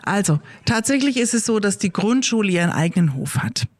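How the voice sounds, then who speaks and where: A woman's voice: mid-range voice, expressive, darkish tone, no room sound. Patient woman explaining things to children. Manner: slow, careful, clear wording for kids.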